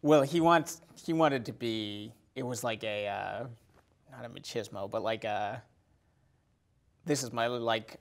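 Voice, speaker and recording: Mid-pitched man chatting casually, haltingly. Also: vocal fry. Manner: hesitant, halting, often repeats "like". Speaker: podcast guest. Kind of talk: casual chat about a film.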